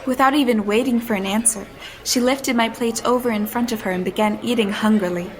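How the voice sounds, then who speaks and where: A woman's voice: on the high side, a little fast, lightly acted, rough recording. An actress playing a bewildered, well-mannered young woman of the 19th century in an audio drama, with proper period phrasing. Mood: narrating. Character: bewildered, well-mannered young asylum escapee in 1871, narrating her tale. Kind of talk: audio drama dialogue and first-person narration. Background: music.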